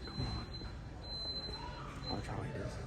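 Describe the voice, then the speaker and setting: A man's voice: slow, noisy background. A man chatting casually and joking with slang and swearing, as a prank vlogger making mock announcements. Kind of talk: casual prank vlog chat with mock announcements into a walkie-talkie. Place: inside a large retail store.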